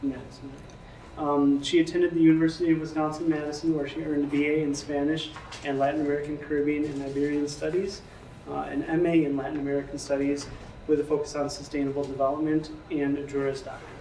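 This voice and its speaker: A man's voice: fairly high pitch, fast. A man moderating a panel, reading out a speaker's introduction with fillers. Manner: even, flat delivery with fillers. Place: lecture room. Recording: echoey room, distant mic, faint hiss.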